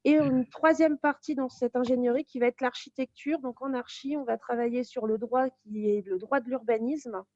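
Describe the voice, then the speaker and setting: A woman's voice: a little high, fairly even intonation, moderate pace, hardly any pauses, darkish tone, dry recording, lo-fi sound. A woman giving an informal, explanatory presentation of a study programme as a teacher. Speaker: teacher presenting a real estate course.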